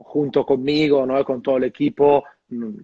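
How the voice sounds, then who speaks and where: A man with an Italian accent, speaking Spanish as a guest bartender and often pausing with fillers and tag questions. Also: mid-range voice, fairly even intonation, husky, no room sound. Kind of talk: casual interview over video call. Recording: muffled dry lo-fi audio.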